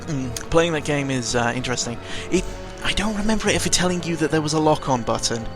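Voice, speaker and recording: A man's voice: a little high, lively intonation, quick pace. Rambling British man with game music behind. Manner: rambling, sarcastic, mildly sweary. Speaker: video game commentator. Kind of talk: casual unscripted rambling commentary. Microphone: close microphone. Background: video game music.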